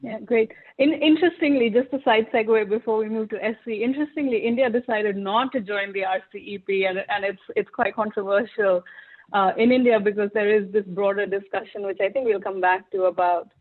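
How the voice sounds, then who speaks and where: A woman's voice: medium pitch, fairly even intonation, a little fast. A woman moderating a panel discussion, conversational, with repeated words as she hands over. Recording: tinny phone-like audio.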